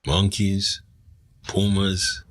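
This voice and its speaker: A man voicing a deadpan, drawling male sidekick on a podcast, reciting lists word by word. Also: low-pitched, very slow, dry recording, clean studio sound.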